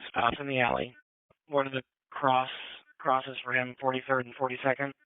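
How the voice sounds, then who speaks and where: A man's voice: medium pitch, flat delivery, moderate pace, clear, resonant tone, no echo. A man working as a police dispatcher over two-way radio, tersely repeating reports back in clipped procedural phrases. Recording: narrow-band police scanner radio audio.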